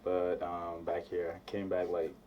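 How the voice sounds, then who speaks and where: A young man raised in Nigeria answering interview questions in a laid-back, halting way with many fillers. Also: sits low, completely flat delivery. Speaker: guest musician. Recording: distant, slightly muffled mic with faint background noise.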